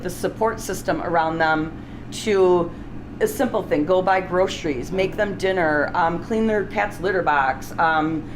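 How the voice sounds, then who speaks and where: A middle-aged woman hosting a cooking series in a casual, chatty, enthusiastic, unscripted way. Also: lowish voice. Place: restaurant kitchen, indoors. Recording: clearly noisy kitchen ambience.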